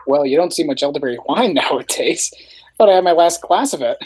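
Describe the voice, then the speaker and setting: A man's voice: fairly high pitch, animated, a little fast, a little mellow, breathy edge. A man playing a mild, lonely asylum superintendent in a radio play, formal and hesitant. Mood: mild, wistful.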